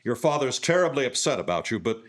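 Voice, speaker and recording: A man's voice: mid-range voice, very animated, fast talker, natural voice. A man playing a blunt, impatient police inspector in a live mystery drama, conversational and a little baffled. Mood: relieved, sheepish. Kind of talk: live radio-style mystery drama acting.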